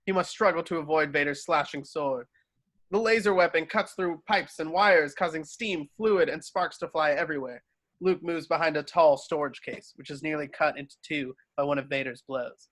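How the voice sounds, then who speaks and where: Higher-pitched male script narrator. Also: normal speed, a bit bright, dry recording. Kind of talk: scripted narration, reading stage directions.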